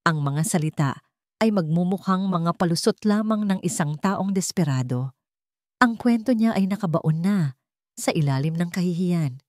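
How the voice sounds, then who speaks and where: A woman storyteller reading a scripted dramatic tale in a measured delivery with deliberate pauses. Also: lowish voice, darkish tone, no room sound, clean studio sound.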